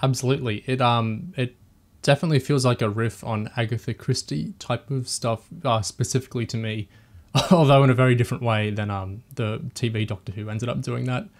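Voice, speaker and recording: A man's voice: medium pitch, steady tone, brisk. A man hosting a podcast, talking through a review discussion with enthusiasm.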